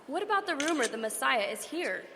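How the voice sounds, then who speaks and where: An actress playing an eager field news reporter who keeps pressing with questions in a live radio drama. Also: female voice, high-pitched, big pitch swings, sharp tone, slight background noise, exaggerated voice. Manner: brisk, pressing reporter delivery.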